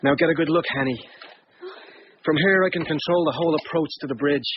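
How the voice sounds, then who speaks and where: An actor playing a fervent, stubborn young Irish rebel fighter in a radio drama, with an intense manner. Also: male voice, a little high, a little fast, natural voice. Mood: brisk, commanding. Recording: dull noisy radio drama recording.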